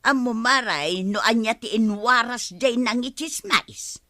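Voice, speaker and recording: High, bright village gossip woman. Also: measured pace, no room sound, studio quality. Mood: conspiratorial. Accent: Ilocano. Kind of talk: Ilocano radio comedy drama acting.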